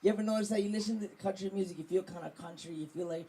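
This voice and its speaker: A man from Hawaii performing a stand-up comedy set in a club, loud and playful and laughing at his own jokes. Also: high voice, very fast. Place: comedy club stage with audience. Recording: live club with crowd noise.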